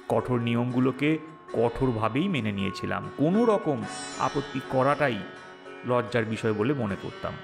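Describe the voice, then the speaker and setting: Guy reading a wry dramatic story, really lively pitch swings, bit of creak, music under it. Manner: expressive, wry, theatrical delivery. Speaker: story narrator voicing the protagonist. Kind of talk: dramatized audio story narration in first person.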